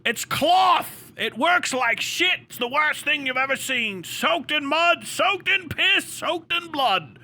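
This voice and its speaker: A man's voice: very high voice, expressive, slow, hardly any pauses, bright, forceful tone, voice pushed up, full character voice. A man livestreamer voicing a boastful, theatrical old-time gun enthusiast in a pompous, declaiming comic skit. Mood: proud, bombastic.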